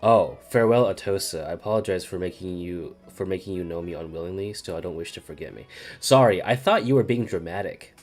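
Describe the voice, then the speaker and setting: A woman's voice: a bass voice, fairly quick, few pauses, darkish tone. A woman streaming a game with casual, sweary, emotionally reactive commentary, talking back to the game. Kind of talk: casual live playthrough commentary. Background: game music.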